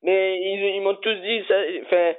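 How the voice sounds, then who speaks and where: Pushy male prank caller, halting and stubborn, heard over a tinny phone line. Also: high voice, level tone, clear, resonant tone. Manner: stubborn, halting, absurd demands.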